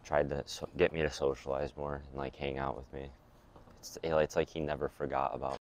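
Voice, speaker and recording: Really deep voiced young guy, halting interview talk, bit of background noise. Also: little pitch movement. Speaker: interviewee, friend of a victim.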